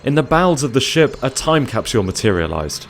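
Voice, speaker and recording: A man's voice: mid-range voice, big pitch swings, a little fast, faint music behind. A man narrating a scripted audio drama in a dramatic storytelling style.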